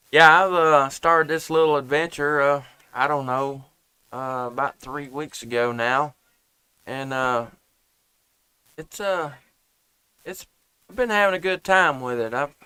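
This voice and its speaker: Crisp, bright man on ham radio. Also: on the high side, no room sound. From Tennessee. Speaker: amateur radio operator, live streamer. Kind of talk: casual ham radio contact talk.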